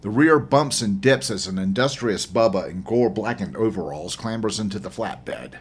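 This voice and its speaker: A man reading his own horror novel as dramatic first-person narration, husky and intense in delivery. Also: lowish voice, expressive, moderate pace. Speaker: author reading own audiobook.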